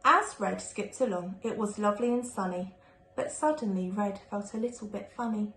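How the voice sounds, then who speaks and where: A woman's voice: medium pitch, normal speed, a bit bright. A woman reading a rhyming children's storybook aloud expressively, like a primary school teacher. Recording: echoey room, faint background noise.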